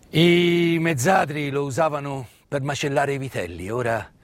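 A man performing a dramatic theatrical monologue, intense and emphatic, with repeated words and pauses. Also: medium pitch. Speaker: stage actor-narrator. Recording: live stage performance with music. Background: live music.

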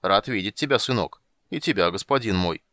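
Ancient wizard, old man, stern yet forgetful, grave slow delivery, low voice, man reading it, dry close audiobook mic. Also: expressive, sharp tone, no echo. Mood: genial.

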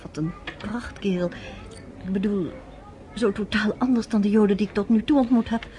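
An actress playing a proud, curious American nurse in a radio drama, talking firmly and with challenge. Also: female voice, mid-range voice, moderate pace, warm tone, close to an everyday voice.

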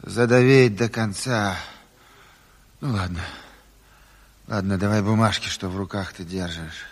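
A man's voice: fairly low pitch, unhurried. An actor playing an exhausted, sick, stubborn committee chairman in a radio drama, curt and grumbling. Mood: stubborn, puzzled. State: weary, sick. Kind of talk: theatrical radio drama acting. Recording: 1970s radio play.

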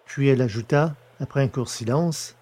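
A man reading the narration of a science-fiction story in a measured way. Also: medium pitch, darkish tone, breathy edge. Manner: measured, descriptive. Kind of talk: scripted narration.

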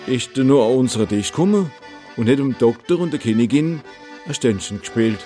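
A man's voice: mid-range voice, animated, a little fast, over music. An older man from Alsace with an Alsatian accent, telling anecdotes as an interview guest. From Munster valley, Alsace. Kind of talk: anecdotal storytelling.

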